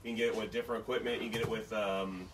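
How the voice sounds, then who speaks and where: A man's voice: fairly low pitch, fairly even intonation, very fast, recorded from a distance, noisy background. A man on a casual livestream, reading out viewer questions and talking eagerly about gear.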